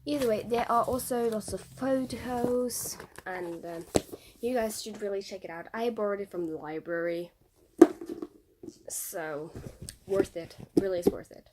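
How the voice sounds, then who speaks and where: A woman's voice: medium pitch, measured pace, heavy background noise. A young woman from Norway with a Norwegian accent, speaking English as a second language, chatting casually and reading passages aloud from a book, with many fillers and restarts. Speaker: vlogger.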